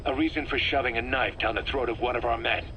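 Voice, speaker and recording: An actor playing a hard, suspicious, bitter military commander in a video game, speaking in a terse, accusatory way. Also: male voice, medium pitch, steady tone, fast, pushed and bright, close to an everyday voice. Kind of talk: dramatic video game voice acting. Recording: slightly noisy cassette tape recording.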